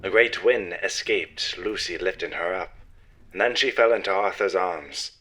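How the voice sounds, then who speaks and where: A man's voice: deep voice, normal speed, a bit bright, rough recording. A man announcing in a theatrical, florid old-time radio serial style. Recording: music behind the voice.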